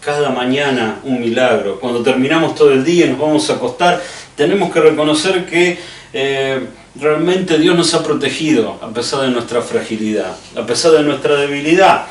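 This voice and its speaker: A man's voice: medium pitch, normal speed, far from the mic. A middle-aged male preacher with a Rioplatense Spanish accent is heard a little away from the microphone in an echoey room. He delivers a conversational sermon, speaking earnestly and straight to the listener, often checking in with rhetorical questions.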